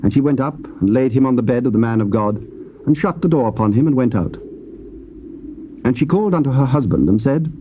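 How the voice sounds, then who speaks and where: A man narrating scripture in a measured, stately way in a dull, lightly hissy old tape recording. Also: medium pitch, animated.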